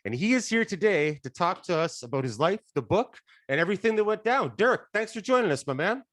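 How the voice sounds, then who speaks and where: A man's voice: high-pitched, sing-song, brisk, a bit bright, no echo, studio quality. Casual friendly podcast host man. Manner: casual, friendly, loose with fillers. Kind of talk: podcast interview hosting.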